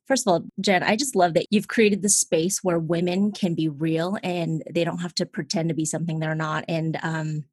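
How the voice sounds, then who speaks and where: Give me an earnest woman guest with a lowish voice, opening up about her past. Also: steady tone, moderate pace, few pauses, darkish tone, slightly rough, dry recording. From Seattle, Washington, USA. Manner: open and earnest, laughs easily, addresses listeners as guys. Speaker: podcast guest. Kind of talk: candid personal testimony.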